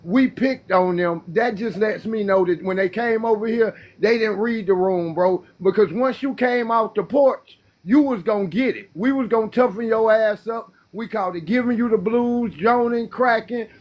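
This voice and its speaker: A man in his fifties arguing forcefully, lecturing the others in a casual debate. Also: high-pitched, normal speed, few pauses. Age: 50s.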